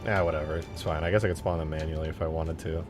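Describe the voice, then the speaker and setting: A man's voice: extremely low pitch, fairly even intonation, quick pace, over music. A man livestreaming as a game modder, chatting casually in a rambling, jokey way as he answers viewers. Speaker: live streamer and game modder.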